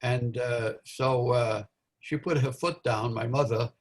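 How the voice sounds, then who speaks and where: Male guest, 80s, from Belgium; halting, filler-heavy recollection, middle-pitched voice. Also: steady tone, breathy edge. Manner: halting, many fillers and restarts. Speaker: interview guest, Holocaust survivor. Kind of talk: recounting memories in a live interview.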